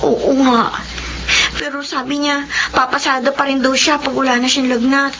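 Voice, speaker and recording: A woman's voice: high-pitched, level tone, normal speed, a bit bright. An actress playing a young sister who worries about her older brother, speaking earnestly and pleadingly in a Filipino radio drama. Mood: worried. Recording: dull, noisy radio drama audio.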